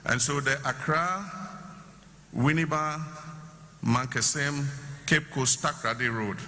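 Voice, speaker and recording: A man, a government official, giving measured, explanatory answers at a public question session. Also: mid-range voice, pushed and bright. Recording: noisy hall with long reverb.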